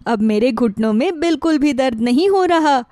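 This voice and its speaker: A woman's voice: sits high, lively intonation, moderate pace, mellow, no echo, studio quality. A woman playing an affectionate older mother-in-law who frets about her household in a moral story. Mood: fond. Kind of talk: acted dialogue in a moral story.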